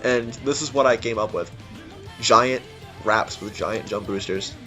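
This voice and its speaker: A man's voice: on the low side, measured pace, sharp tone. A man giving informal, rambling, unscripted commentary on a game level he built. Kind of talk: casual unscripted gameplay commentary. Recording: music behind the voice. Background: game music.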